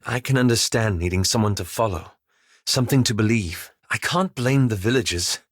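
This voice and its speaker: Measured, weary male elf adventurer played by an actor, dry recording. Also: lowish voice, no room sound, lightly acted. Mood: earnest, reflective. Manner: measured, formal, articulate, hesitant. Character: weary, guilt-ridden male elf adventurer, reserved and conscientious. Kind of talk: video game character dialogue.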